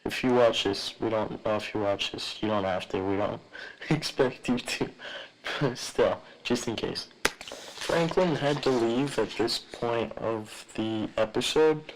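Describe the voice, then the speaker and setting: Man, medium pitch, podcast host, casual chatty commentary. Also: rough recording.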